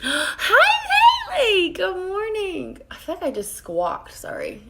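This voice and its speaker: A woman with a raspy voice, chatting casually with her viewers while she does her makeup at her vanity at home. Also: extremely high pitch, huge pitch swings, slow, heavy background noise. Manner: chatty, greets viewers by name and rambles while working. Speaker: makeup vlogger. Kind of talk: casual live-streamed makeup tutorial. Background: faint background music.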